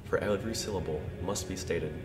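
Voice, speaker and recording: A young man playing a solemn exorcist priest who gives deliberate instructions. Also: deep voice, recorded from a distance, over loud music, lightly acted. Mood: solemn, instructive. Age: young adult. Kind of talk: mock instructional narration.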